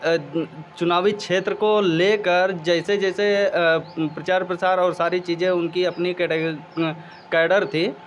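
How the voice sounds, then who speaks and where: A man's voice: sits high, little pitch movement, measured pace, slight background noise. A young male student answering outdoors, hesitant and searching for words. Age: young adult. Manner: hesitant, many fillers and restarts. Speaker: law student interviewee. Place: outdoors in a village.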